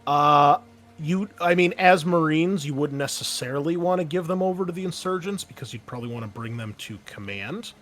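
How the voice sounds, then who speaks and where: A man running a tabletop game as game master, explaining and narrating casually in a halting, conversational way. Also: on the high side, a bit bright.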